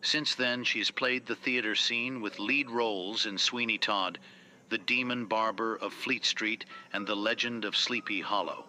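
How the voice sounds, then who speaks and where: A man's voice: fairly low pitch, steady tone, unhurried, bright, forceful tone, low-quality recording. A man reading a scripted introduction as a podcast presenter.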